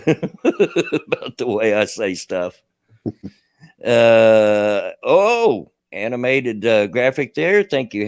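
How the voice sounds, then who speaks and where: A man's voice: fairly low pitch, gravelly, no echo, studio quality. A middle-aged man with a Kentucky and Tennessee redneck accent, hosting a casual livestream and rambling with a self-deprecating laugh. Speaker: livestream host.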